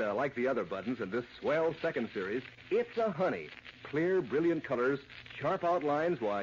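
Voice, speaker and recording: An American man giving an enthusiastic, folksy, emphatic sales pitch in 1940s radio advertising style. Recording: muffled, noisy vintage radio recording.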